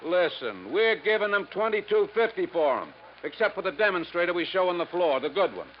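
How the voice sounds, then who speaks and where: Shady middle-aged american sales boss, slangy and whiny, kinda high voice, old noisy radio show. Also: male voice, sing-song, fairly quick. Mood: brisk, scheming. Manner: blustering, slangy, self-pitying. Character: harried, crooked middle-aged furniture store boss, blustering hustler. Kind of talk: 1950s radio detective drama acting. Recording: dull, noisy 1950s radio recording.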